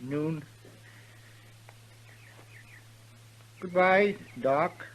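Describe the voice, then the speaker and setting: An actor playing a stubborn, flustered self-styled army general who stammers and halts, in 1950s western radio style. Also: male voice, on the high side, a bit bright. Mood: resigned. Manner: stammering, halting, earnest. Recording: dull vintage radio recording.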